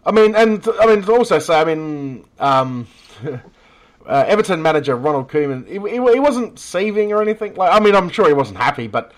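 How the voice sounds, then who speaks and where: A man's voice: high-pitched, sing-song, brisk. An Australian man hosting a casual football chat podcast, joking and swearing freely in a blunt way.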